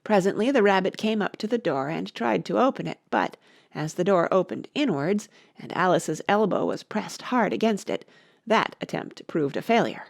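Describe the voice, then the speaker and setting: A woman's voice: on the low side, lively intonation, normal speed, vocal fry, no room sound, studio quality. A woman narrating a children's storybook expressively as an audiobook reader.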